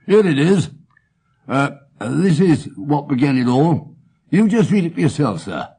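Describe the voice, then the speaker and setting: Man acting a high, husky English pawnbroker, earnest and stammering. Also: very animated, a little slow, mellow, heavily acted. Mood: eager. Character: plain, stout, red-headed male pawnbroker, earnest and wordy.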